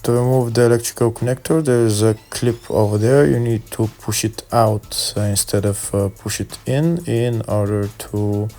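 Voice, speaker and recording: Low-pitched man, bright voice, hesitant instructions. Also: steady tone, normal speed, hardly any pauses, soft background music. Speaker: car repair video host. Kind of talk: step-by-step how-to narration.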